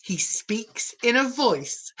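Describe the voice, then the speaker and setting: A woman reading a picture book aloud to children as a storyteller, in an animated, encouraging and theatrical manner. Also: fairly high pitch, slow, slightly rough.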